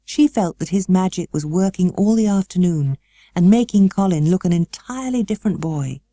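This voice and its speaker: Mellow, expressive British woman storyteller. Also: low-pitched, no echo. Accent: British English. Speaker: audiobook narrator. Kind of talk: expressive scripted storybook narration.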